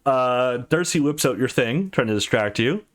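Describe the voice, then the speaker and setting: A man's voice: medium pitch, expressive, normal speed. A man giving casual let's play commentary on a story game.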